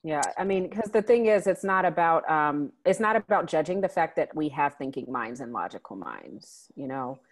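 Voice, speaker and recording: A woman co-hosting a casual online group discussion, talking reflectively with many pauses and restarts. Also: deep voice, animated. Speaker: co-host of a discussion salon. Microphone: online video call.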